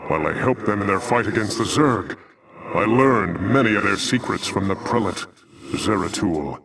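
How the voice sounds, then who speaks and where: Deep, solemn alien commander. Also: male voice, expressive, gravelly, a bit bright, slightly rough, far from the mic, exaggerated voice. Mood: solemn, explaining. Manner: formal, measured, grave. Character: noble, solemn alien Protoss commander, calm and resolute. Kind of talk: scripted video game acting.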